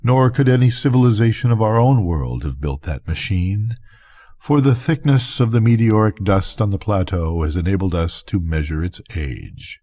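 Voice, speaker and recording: Deep, mellow older man reading a story. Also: lively intonation, moderate pace. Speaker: reader of bedtime stories. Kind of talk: scripted narration, audiobook-style story reading.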